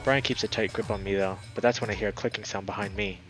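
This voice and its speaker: A man streaming a let's play, reading a visual novel aloud in a dramatic, excitable way, sometimes stumbling over words. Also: on the low side, quick pace. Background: background music.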